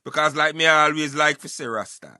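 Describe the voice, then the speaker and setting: A man's voice: a little high, a little fast, dry recording. A man with a Jamaican accent talking casually and emphatically as a radio host, giving his opinions and addressing listeners like friends. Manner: animated, emphatic, repeatedly addressing listeners as brethren.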